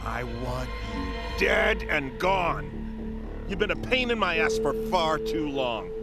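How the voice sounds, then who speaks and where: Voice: medium pitch, sing-song, takes it slowly. An actor playing a hostile, bitter police crew leader who threatens and commands in an audio drama. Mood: bitter, taunting. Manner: aggressive, menacing, commanding. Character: hostile corrupt cop leader, bitter and threatening, hates robots. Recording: loud music bed behind.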